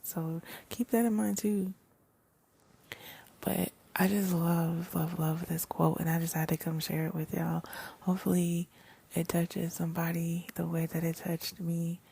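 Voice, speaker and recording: A woman giving a casual self-help talk in a soft, breathy whisper, swearing now and then. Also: fairly low pitch, level tone, a little slow. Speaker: vlogger.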